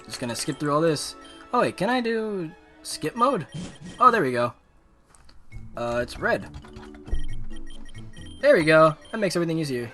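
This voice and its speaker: A man live-streaming a game, giving excitable, casual, slangy commentary and reading on-screen text aloud. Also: a little high, normal speed, long pauses, soft background music.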